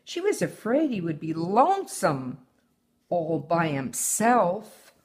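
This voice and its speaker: A woman's voice: lowish voice, wildly swooping pitch, takes it slowly. An older woman reading a children's picture book aloud as a guest storyteller.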